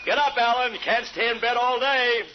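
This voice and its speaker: A man's voice: very high voice, fairly even intonation, brisk, heavy background noise. An actor playing a hearty, teasing father with a jovial, folksy manner in a 1950s radio drama. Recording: dull old radio, music behind.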